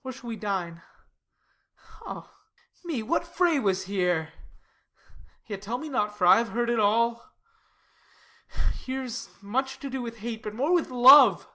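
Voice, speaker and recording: A woman's voice: fairly high pitch, expressive, slow pace, long pauses, bright, forceful tone, exaggerated voice. A woman playing a lovesick, brooding young nobleman in a dramatic Shakespearean reading, with a sighing, melodramatic delivery. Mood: anguished, passionate.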